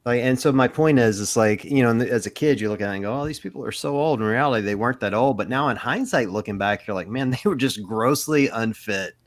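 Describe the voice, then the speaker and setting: A man's voice: mid-range voice, fast, hardly any pauses. A middle-aged man from Mississippi chatting casually and rambling a bit as co-host of a live Q&A. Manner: rambling, casual, swears freely.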